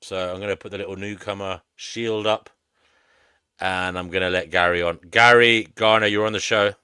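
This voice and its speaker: A man's voice: sits low, fairly even intonation, normal speed, pushed and bright, clean tone, dry recording, studio quality. A man hosting a live panel stream, chatting casually and informally with guests.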